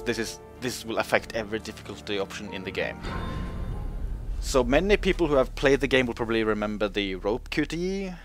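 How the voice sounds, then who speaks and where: Male host, relaxed game-patch commentary, filler-heavy, playful pitch jumps, light music underneath. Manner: chatty, many fillers, pitch jumps up when joking. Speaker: gaming video host.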